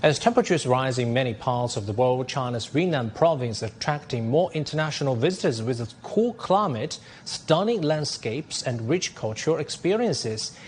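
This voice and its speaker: A man reading business news as a studio anchor, in a measured, formal way. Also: mid-range voice, animated, slight background noise.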